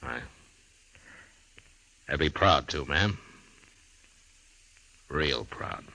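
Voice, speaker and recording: An actor playing a stoic, weary frontier U.S. marshal, an American with halting, plain-spoken delivery in an old-time radio western. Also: male voice, extremely low pitch, animated, noisy background, full character voice. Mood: subdued, sincere. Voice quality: gravelly. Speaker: radio drama lead actor.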